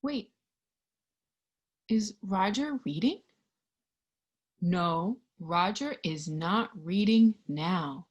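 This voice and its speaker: A woman reading a picture book aloud to young children, expressively, pausing to put questions to her listeners. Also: medium pitch, muffled recording. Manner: expressive, animated, with hushed asides and questions to listeners. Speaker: storybook reader.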